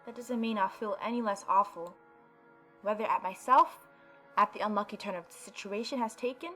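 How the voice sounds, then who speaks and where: Female gamer, mid-pitched voice, dramatic story reading, teasing asides, faint music behind. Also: normal speed, bright, forceful tone. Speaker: gaming video host. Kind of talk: reading visual novel narration aloud with playful reactions.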